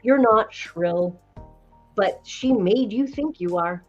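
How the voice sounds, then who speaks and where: Woman, 60s, voice coach guest, slightly low voice, emphatic storyteller, dull podcast audio. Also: expressive, slow pace, faint music behind. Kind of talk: conversational podcast interview.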